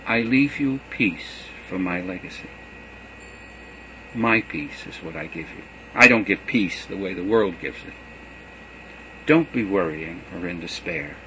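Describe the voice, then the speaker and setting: A man reading as Jesus, earnest and steady. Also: fairly low pitch, a little hiss or hum, low-quality recording. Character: Jesus, a gentle male teacher farewelling his students. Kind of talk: reading a gospel aloud.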